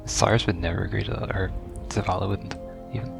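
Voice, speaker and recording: A man's voice: sits low, fairly even intonation, fast talker, vocal fry, loud music behind the voice. A man giving casual live reaction commentary as a gaming video creator.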